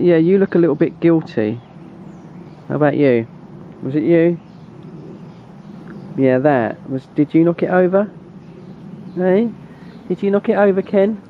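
A man's voice: a little high, lively intonation, measured pace, pauses often. A man with a southern English accent chatting casually in a chummy, rambling way, as a hobbyist vlogger. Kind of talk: casual vlog chat. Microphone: handheld camera. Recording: dull, noisy handheld camera audio.

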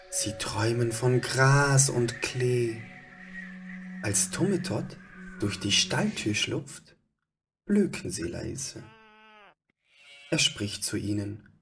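Slow, low-voiced man telling a story. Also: gravelly, a bit bright. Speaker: storyteller, narrator. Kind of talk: scripted children's story narration.

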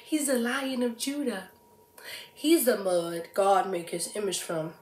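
A young woman performing a dramatic stage monologue as a proud, stormy, defiant prophet, moving between hushed and loud delivery. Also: mid-range voice, fairly even intonation, lightly acted. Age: young adult. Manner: slow, swinging from whispers to loud cries. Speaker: student actor. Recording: echoey room.

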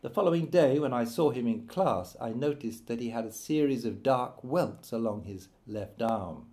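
A man narrating his own written memoir as an audiobook, in a measured storytelling manner. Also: medium pitch, expressive, mellow.

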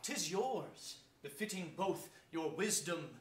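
A man's voice: high-pitched, lively intonation, takes it slowly. A man playing a solemn, pleading petitioner in a formal, declamatory Shakespearean stage reading. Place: theatre stage, far from mic. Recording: echoey theatre, distant mic, some noise.

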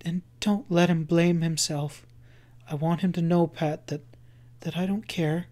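A man's voice: on the high side, fairly even intonation, unhurried, natural voice. A man reading the part of a feverish young woman in labor, talking faintly and pleadingly. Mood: fervent, pleading. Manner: soft, pleading, breathy and faint.

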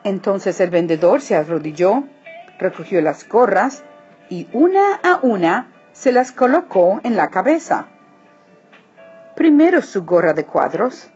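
A woman reads a children's story with dramatic, deliberate phrasing. Her melody swings widely and she takes her time, sounding a bit husky. The recording is slightly muffled with faint music behind. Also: medium pitch, darkish tone. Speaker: storybook reader. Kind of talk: expressive children's story narration.

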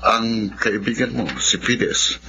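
An actor playing a gentle, indulgent middle-aged father in a Filipino radio drama. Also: fairly low pitch, fairly even intonation, slow pace, creaky. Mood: gentle, serious. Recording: muffled, noisy radio recording.

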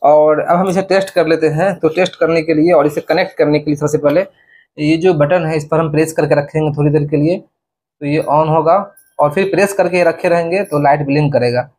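Slightly high-voiced young man. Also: fairly even intonation, brisk, a little mellow, slightly rough. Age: young adult. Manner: explanatory, step-by-step. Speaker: vlogger. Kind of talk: casual product installation demo to camera. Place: indoors in a small bedroom.

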